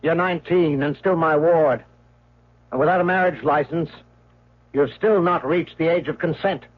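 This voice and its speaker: A man's voice: a little high, moderate pace, natural voice. An actor playing a scheming, domineering male guardian with a clipped, imperious manner, in 1970s radio drama style. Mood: cold, menacing. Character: scheming, domineering male guardian, coldly threatening, self-serving. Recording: muffled old radio broadcast.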